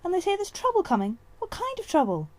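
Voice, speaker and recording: A woman's voice: very high voice, huge pitch swings, fast talker, darkish tone, no room sound, voice pushed up, heavily acted. A woman voicing a feisty young female apprentice cat in an audiobook, indignant and challenging.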